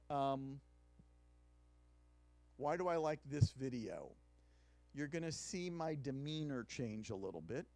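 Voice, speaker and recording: A man's voice: mid-range voice, mellow, no echo. A middle-aged male clinical psychologist giving a conversational workshop lecture into a handheld mic in a conference room, now and then asking the audience questions.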